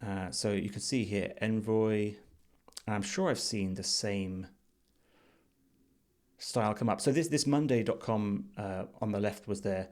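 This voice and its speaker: Male reviewer, lowish voice, unscripted walkthrough delivery, measured and thoughtful, as if working it out while talking. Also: a little mellow. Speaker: design reviewer. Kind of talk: unscripted screen-share commentary.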